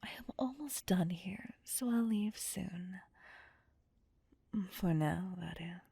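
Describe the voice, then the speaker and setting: A woman playing a possessive, cocky vampire captor in an ASMR roleplay, with a breathy, husky, teasing and domineering whisper. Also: lowish voice, mellow, no room sound, heavily acted. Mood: teasing, smug. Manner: slow, teasing, seductive, domineering. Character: possessive, cocky, sadistic female vampire captor, playfully menacing. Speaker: voice actor performing audio roleplay.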